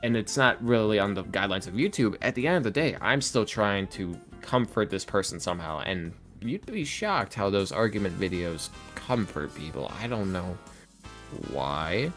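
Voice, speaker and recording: A man who makes roleplay videos, talking casually as a podcast guest and acting out quotes as he tells stories in an animated way. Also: fairly low pitch, normal speed, soft background music. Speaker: interview guest, ASMR roleplay video creator.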